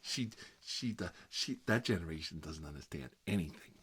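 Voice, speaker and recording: A man playing a fussy, gossipy bachelor uncle in an improvised comedic ASMR roleplay, rambling and confiding in a prissy, halting way. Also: low voice, lively intonation, background noise. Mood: gossipy, indignant.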